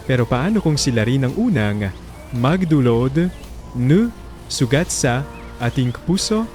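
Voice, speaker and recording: Casting: man as radio drama narrator, Filipino accent, mid-range voice, dramatic storytelling read over a soft music bed. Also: medium pitch, sing-song, unhurried.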